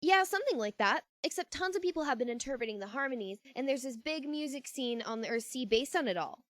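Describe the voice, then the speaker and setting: A high-pitched, earnest teenage girl, played by a woman, lonely and anxious beneath her nerdy chatter. She talks in a rambling, conversational way for a fan-made audio drama, recorded close and dry. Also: animated, fast, fairly bright tone, dry recording. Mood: amused, flustered. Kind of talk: amateur fan-fiction audio drama acting.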